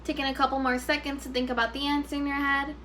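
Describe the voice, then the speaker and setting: A woman teacher reads to young children in an expressive, instructive way. The voice is fairly high and very bright, and there is faint noise in the recording. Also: quick pace. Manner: expressive, instructive, repeats questions for pupils. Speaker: first grade teacher. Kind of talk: children's read-aloud with teaching questions.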